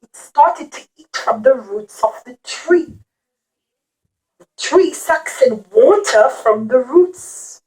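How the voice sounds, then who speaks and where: A woman with a high voice tells a story to young children. She keeps it simple and repetitive, stopping to explain and leaning on key words with dramatic emphasis, as a puppet-show storyteller does. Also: expressive, slow, a little mellow, rough recording.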